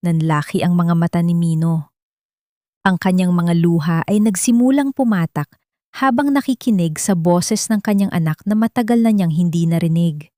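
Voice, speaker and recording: A woman's voice: on the low side, normal speed, mellow, dry recording, studio quality. A woman narrating a scripted dramatic story as an audiobook narrator.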